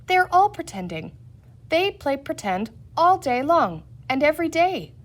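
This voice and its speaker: A woman's voice: high-pitched, sing-song, a little slow, pitched above the performer's own voice. A woman reading the part of a sensible young girl, explaining things earnestly and patiently in a children's story. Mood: worried, explaining.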